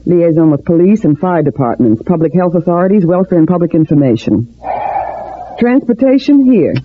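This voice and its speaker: A shrewd female conspirator of old radio drama. Her low woman's voice moves through a lively range in clipped, knowing phrases, on a thin, tinny recording. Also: moderate pace, dry recording. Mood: briskly knowing. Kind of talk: 1940s radio drama acting.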